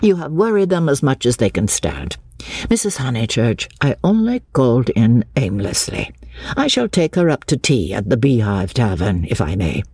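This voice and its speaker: A reader playing an excitable, chatty English clergyman. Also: mid-range voice, moderate pace, slightly rough, no echo. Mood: polite, brisk. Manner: rambling, theatrical, exclamatory.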